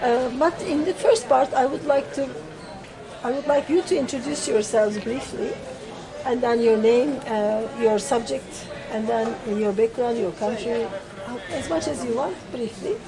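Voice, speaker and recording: Hesitant woman interviewer amid loud chatter. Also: a little high, unhurried, poor audio. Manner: hesitant, full of fillers and restarts. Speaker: interviewer and teacher trainer. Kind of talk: semi-formal interview questions. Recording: loud crowd chatter behind. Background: chatter of many people.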